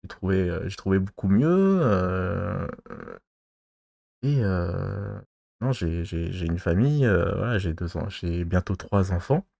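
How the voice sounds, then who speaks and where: A man from France giving advice in a casual monologue to his viewers, halting and rambling, pausing constantly and losing his thread. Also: low-pitched, lively intonation, creaky, darkish tone, no echo. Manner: loses his thread mid-talk. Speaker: vlogger giving dating advice.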